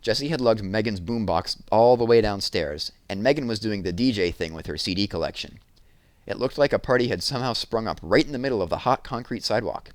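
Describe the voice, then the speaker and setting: A man narrating a children's novel aloud in an expressive storytelling style. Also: on the low side, brisk, no echo.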